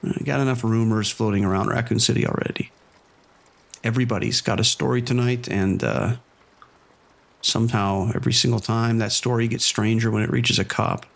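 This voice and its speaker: A man playing a dry, cautious vice sergeant in a police radio drama, giving orders with deadpan authority. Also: medium pitch, fairly quick, vocal fry, lightly acted. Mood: dry, dismissive.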